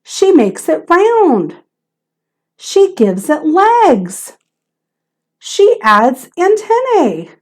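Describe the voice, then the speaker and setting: A woman teacher reading a children's picture book aloud in a theatrical, expressive way with emphasis on key words. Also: very high-pitched, extremely slow, long pauses.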